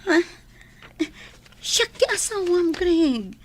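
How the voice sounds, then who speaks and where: An actress plays a devoted young-sounding wife in a Filipino audio drama. Her voice is high, with a Filipino accent. She is anxious and pleading. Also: big pitch swings, very slow, exaggerated voice. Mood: anxious, tender. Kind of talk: Ilocano audio drama acting.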